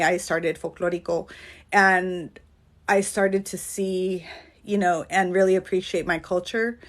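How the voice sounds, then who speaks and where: A woman's voice: fairly low pitch, a bit bright. A California-born woman chatting on a livestream as a dance choreographer and coach, rambling and earnest, with lots of "um" and "like". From California, USA. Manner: rambling, earnest, heavy on fillers like "um" and "like". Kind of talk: casual livestream chat. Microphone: phone livestream.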